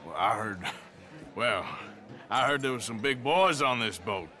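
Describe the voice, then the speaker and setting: A man playing a gruff middle-aged outlaw who talks in a dry, teasing way. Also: mid-range voice, lively intonation, measured pace, bright, forceful tone. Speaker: card player and robber.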